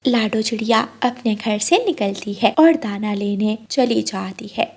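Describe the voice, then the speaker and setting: A woman's voice: mid-range voice, animated, unhurried, darkish tone, breathy edge. A woman narrating a scripted children's cartoon story.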